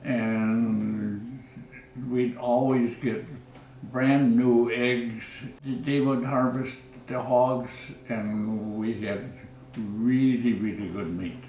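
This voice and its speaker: A man in his nineties from Minnesota, reminiscing in an interview at home, halting and repeating words as he goes. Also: medium pitch, fairly even intonation, dark tone, roomy sound, far from the mic, a little hiss or hum, low-quality recording. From Minnesota, USA. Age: 90s. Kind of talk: oral history reminiscing. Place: living room at home.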